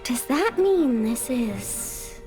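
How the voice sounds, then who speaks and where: A woman's voice: high-pitched, very animated, extremely slow, heavily acted. An actress voicing a blunt, casual young woman. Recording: game scene with faint music.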